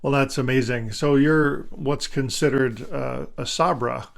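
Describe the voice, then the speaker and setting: A man's voice: mid-range voice, fairly even intonation, a little slow, gravelly. A man hosting a podcast, introducing and questioning his guest in a friendly, upbeat way. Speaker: podcast host. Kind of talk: podcast interview.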